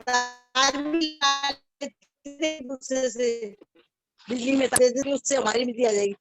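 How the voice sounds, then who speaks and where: A woman fondly recalling the old days in a casual group chat, speaking haltingly with frequent restarts. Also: high voice, steady tone, fairly bright tone, no echo, faint music behind. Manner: nostalgic, halting, restarts often. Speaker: discussion guest. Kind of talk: casual reminiscing. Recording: dry, dull lo-fi call audio.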